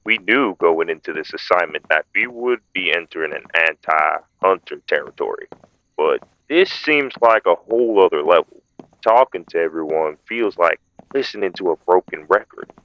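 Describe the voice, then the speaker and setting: A slightly muffled recording carries a man playing a vampire hunter in an audio drama. His voice is deep and matter-of-fact. He sounds businesslike, worn down and frustrated as he reports. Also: lively intonation, normal speed. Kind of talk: audio roleplay acting.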